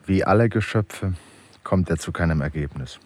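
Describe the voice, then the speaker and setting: Husky, low-voiced brooding male narrator. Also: normal speed, darkish tone. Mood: grave, brooding. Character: grave, brooding male narrator of an inner drama. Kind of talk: literary narration in a radio play.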